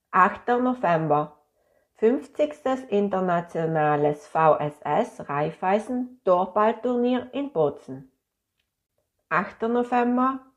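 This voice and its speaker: A woman reading a calendar of events aloud in a slow, measured way. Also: medium pitch. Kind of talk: scripted reading of announcements.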